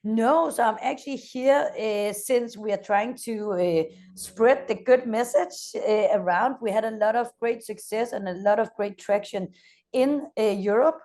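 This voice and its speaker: A woman from Denmark in her thirties with a Danish accent, speaking as an energetic company founder in an interview and pausing often on "uh". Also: fairly high pitch. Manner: energetic, frequent "uh" hesitations. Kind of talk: interview conversation.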